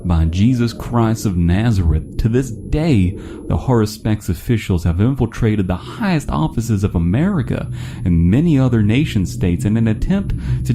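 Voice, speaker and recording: A man's voice: lowish voice, noisy background, lightly acted. A man playing a pompous, earnest radio preacher delivering a solemn, sanctimonious sermon with formal wording.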